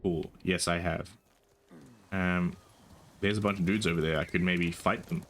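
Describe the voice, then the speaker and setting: A young man with a soft, soothing voice, chatting casually while streaming a game, rambling with lots of ums and casual swearing. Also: sits low, steady tone, normal speed, slight background noise. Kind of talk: casual gaming stream chat.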